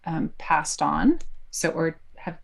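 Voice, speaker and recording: A middle-aged woman from New Jersey, a podcast guest speaking thoughtfully with many pauses and fillers. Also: low-pitched, expressive, creaky. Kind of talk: conversational interview.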